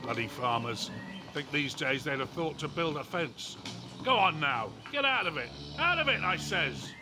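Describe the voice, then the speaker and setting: A man's voice: fairly high pitch, sing-song, moderate pace. Swooping, brash coachman. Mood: gruff. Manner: rustic dialect, gruffly plain-spoken. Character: brash, reckless, blunt 1820s stagecoach driver. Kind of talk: period audio drama acting.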